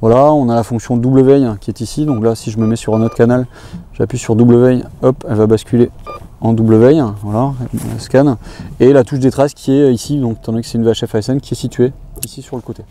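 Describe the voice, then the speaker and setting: Male tutorial presenter, very mellow dark tone, brisk pace, informal unscripted demo delivery. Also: mid-range voice, fairly even intonation. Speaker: presenter of boating equipment tutorials. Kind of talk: informal product demonstration, explaining step by step.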